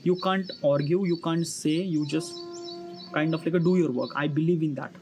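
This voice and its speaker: A man in his early thirties with an Indian accent, giving an unscripted personal monologue to viewers in a halting, repetitive, filler-heavy way. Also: fairly high pitch, a little mellow. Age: early 30s. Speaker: vlogger. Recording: noisy ambience with birdsong. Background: chirping birds, ambient noise.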